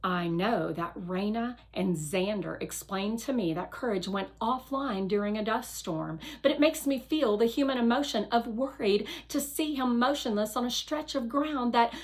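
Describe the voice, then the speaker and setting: A woman narrating a children's novel aloud with careful, expressive storytelling. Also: on the high side, a little slow, hardly any pauses.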